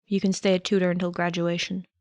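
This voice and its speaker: A woman's voice: fairly low pitch, level tone, fast, a little mellow, dry recording, close to an everyday voice. A woman voicing a guarded, determined male teacher in an audiobook, earnest and restrained. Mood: firm, persuasive. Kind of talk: audiobook character voice.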